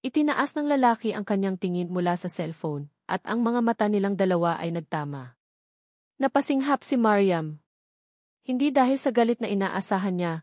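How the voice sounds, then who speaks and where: A woman's voice: medium pitch, a little mellow, no echo, clean studio sound. A woman with a Filipino accent reading a scripted romantic drama as its storyteller. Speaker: narrator.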